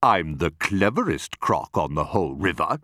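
A man's voice: sits low, very animated, moderate pace, pushed and bright, no room sound, clean studio sound, heavily acted. A man voicing an enormous, greedy, boastful crocodile who savours his words in a storybook reading. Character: enormous greedy boastful male crocodile, sly child-eater.

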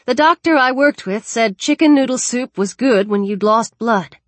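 Woman voicing a gentle, earnest teenage girl. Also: fairly high pitch, normal speed, no room sound, voice pushed up, lightly acted. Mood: coaxing. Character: caring, persistent teenage girl comforting a friend.

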